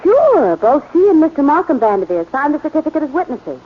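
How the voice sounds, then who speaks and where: A woman playing the hero's brisk, matter-of-fact girlfriend over a telephone line in 1940s radio acting. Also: high voice, big pitch swings. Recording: tinny phone line on old radio broadcast.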